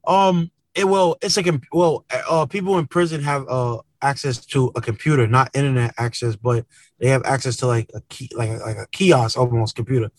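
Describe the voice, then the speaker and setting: A man's voice: mid-range voice, steady tone, moderate pace, no room sound. Earnest man in a dry-sounding interview. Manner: earnest, repeats tags like "man" and "you know". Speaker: rapper, interview guest. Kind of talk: casual interview chat.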